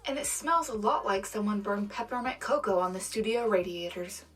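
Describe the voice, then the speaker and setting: A woman acting as a resourceful co-host in a sci-fi radio drama. She speaks at a medium pitch, articulate and wry, with light music underneath. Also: expressive, normal speed, a bit bright, breathy edge. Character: Penny Lively, resourceful, dryly witty woman radio correspondent. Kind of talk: scripted sci-fi radio banter.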